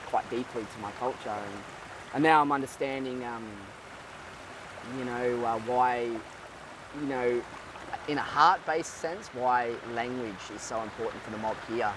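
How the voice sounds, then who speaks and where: A man in his twenties being interviewed, talking in a thoughtful, halting way with many fillers and restarts. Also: medium pitch, recorded from a distance, noisy background. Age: 20s. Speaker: guest. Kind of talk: casual interview.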